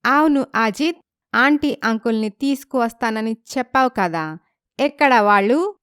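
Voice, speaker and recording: A woman's voice: fairly high pitch, expressive, measured pace, fairly bright tone, clear, resonant tone, no echo, studio quality. A woman voicing a demanding, bubbly young wife in a story, bossy and mixing in English phrases. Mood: demanding, annoyed.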